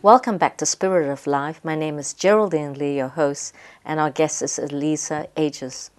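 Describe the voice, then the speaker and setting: A woman hosting a television interview show, asking slow, unhurried questions and giving soft backchannels. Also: sits low, fairly even intonation, faint music behind. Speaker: TV show host.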